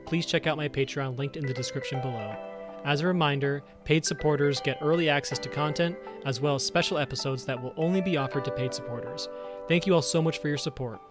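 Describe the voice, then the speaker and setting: Male podcast host, earnest and polite, slightly high voice, with music playing underneath. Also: fast. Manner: earnest and polite, asks open questions. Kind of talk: scripted intro then casual interview.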